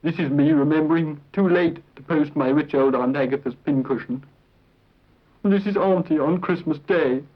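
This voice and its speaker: Actor as a rueful, higher-voiced British nephew. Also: male voice, normal speed, close to an everyday voice. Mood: rueful, dry. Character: rueful, deadpan nephew who lost his aunt's inheritance. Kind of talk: scripted first-person film narration, 1940s. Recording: dull vintage film soundtrack.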